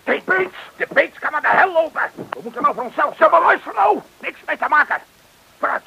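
An actor playing a desperate male criminal in a radio drama, barking urgent orders in shouts. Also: extremely high pitch, expressive, fairly quick, gravelly, heavily acted. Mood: panicked defiance. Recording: dull, noisy old radio play recording.

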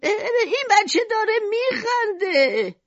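An old lady for a storybook. She is high and very animated, with slow, sighing, wistful phrasing. The recording is dry and slightly dull. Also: gravelly, slightly rough, dry recording, full character voice. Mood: amazed. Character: frail old woman customer, amazed and wistful.

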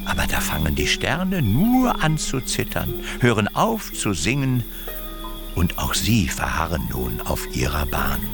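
An actor playing a theatrical storyteller in a radio play, telling a whimsical folk tale deliberately and dwelling on repeated words. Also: male voice, lowish voice, full character voice.

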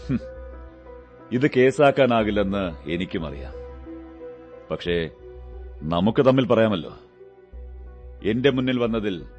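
A shrewd, composed detective in a radio play. His mid-pitched voice swings expressively through deliberate, theatrical pauses, methodical and quietly triumphant. Music sits under the voice. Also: dull audio. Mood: stern, accusing. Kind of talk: radio drama acting.

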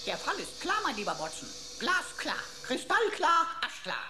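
An actress in a German radio play playing a pompous, sarcastic pocket computer with florid, mock-formal, theatrical delivery. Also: female voice, high voice, huge pitch swings, moderate pace, sharp tone, reverberant, a little hiss or hum, full character voice. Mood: smug, certain. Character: pompous, emotional talking pocket computer, a sarcastic sleuth sidekick.

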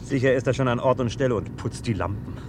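An actor playing an eager, confident police sidekick with a keen, chatty manner in a radio crime drama. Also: male voice, medium pitch, fast talker, close to an everyday voice. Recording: clear car noise behind.

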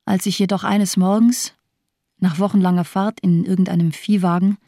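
A woman's voice: lowish voice, fairly even intonation, moderate pace, dark tone, no echo, clean studio sound. An actress playing an embittered, disillusioned woman survivor in a radio drama, speaking coldly with bitter sarcasm. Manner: cold, lifeless, sardonic delivery.

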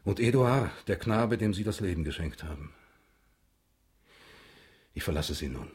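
Radio drama role: stern, middle-aged royal prosecutor, low and very husky, measured and formal. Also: male voice, lively intonation, darkish tone, heavily acted. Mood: solemn, formal. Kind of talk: German radio drama acting.

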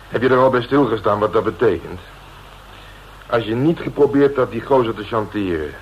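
An actor playing a gruff, streetwise veteran police detective, blunt and domineering in colloquial radio drama dialogue. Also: male voice, on the low side, lightly acted. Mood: lecturing. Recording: dull, noisy old radio recording.

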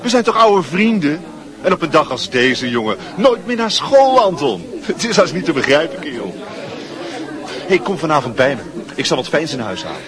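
A man's voice: a little high, sing-song, normal speed. A man acting a brash, chummy young school leaver who schemes and boasts, in a radio drama. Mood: exuberant, chummy. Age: young adult. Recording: busy crowd noise behind. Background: crowd chatter.

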